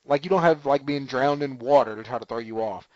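A man in a casual chat, recapping a TV episode with animated storytelling and acted-out lines. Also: mid-range voice, a little fast, no room sound, highs cut off. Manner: animated retelling, acting out characters' lines. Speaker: TV recap podcaster.

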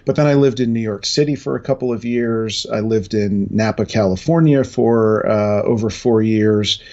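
Middle aged american guy, lowish voice, thinking out loud in an interview, kinda dull lofi sound. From New England, United States. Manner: halting, reflective, anecdotal. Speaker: interview guest, industry analyst. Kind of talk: casual conversational interview.

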